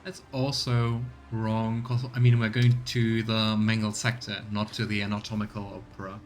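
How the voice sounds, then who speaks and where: A man streaming a role-playing game, chatting casually as he plays and rattling off item descriptions in quick bursts. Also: on the low side, flat delivery, soft background music. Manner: thinks aloud, reads item text in quick bursts. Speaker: let's play streamer. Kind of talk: casual gameplay commentary.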